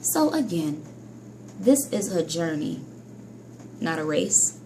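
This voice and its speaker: A woman vlogging about hair care in a casual, chatty monologue, scolding herself and jumping between high and low pitch. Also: very animated, takes it slowly, warm tone, a little hiss or hum, poor audio. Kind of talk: casual vlog monologue to viewers.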